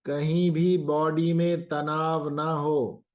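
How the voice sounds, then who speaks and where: A man guiding a meditation as an instructor, speaking calmly in short phrases with long pauses. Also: fairly high pitch.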